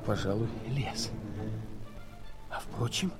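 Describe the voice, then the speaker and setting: A man's voice: lowish voice, slow pace. A man acting a bewildered traveller who thinks aloud in hesitant, dramatic alarm in an audio play. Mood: wondering, uneasy. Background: music.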